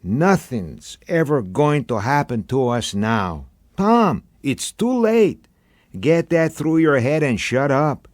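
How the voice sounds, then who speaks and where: A brash, cynical beach bum, acted by a man reading a story, with a voice pushed up high and loud. He bullies and mocks as he talks, in lively dramatic swings. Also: slow pace, no echo, clean studio sound. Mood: angry, domineering. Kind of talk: dramatic audiobook character voice.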